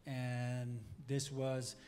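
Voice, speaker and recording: A man's voice: medium pitch, extremely slow. A man in his forties, the pastor's husband, greeting a congregation casually and a bit hesitantly into a handheld mic. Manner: relaxed, hesitant. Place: large arena church stage. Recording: reverberant hall with music.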